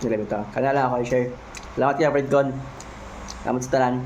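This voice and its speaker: A man's voice: medium pitch, steady tone, quick pace, darkish tone, dull audio, slight background noise. A man with a Cebuano Filipino accent chatting casually in a product vlog, thinking aloud in short, informal fragments with tag questions. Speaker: motovlogger, host. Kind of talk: casual unboxing and product feedback vlog chat.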